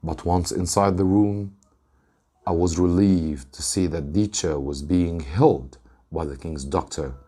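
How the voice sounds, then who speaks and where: A man from Egypt, an English teacher, reading a story aloud with deliberate, dramatic emphasis. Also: sits low, dark tone, slightly rough.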